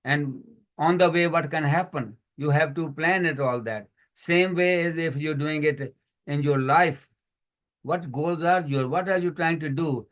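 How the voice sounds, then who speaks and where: Man, fairly high voice, workshop teacher, video call, listing examples, non-native English phrasing. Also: measured pace, a bit bright. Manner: explains with many lists and examples. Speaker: financial educator. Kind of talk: community workshop presentation.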